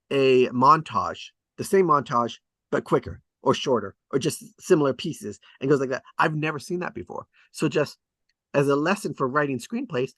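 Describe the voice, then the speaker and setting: A man's voice: fairly high pitch, bright, forceful tone, no echo. A man hosting a table read, chatting casually and hesitantly about scriptwriting as he thinks aloud. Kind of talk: casual rambling discussion of screenwriting.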